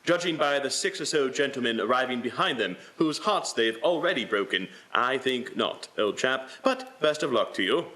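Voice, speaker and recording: A man's voice: mid-range voice, animated, normal speed, hardly any pauses, bright, forceful tone. A man playing a jaunty, teasing young apprentice in a radio-play style reading.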